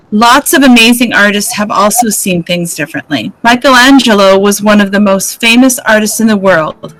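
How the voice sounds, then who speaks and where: A woman's voice: on the high side, a bit bright, rough recording. A woman reading a children's picture book aloud. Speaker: reader.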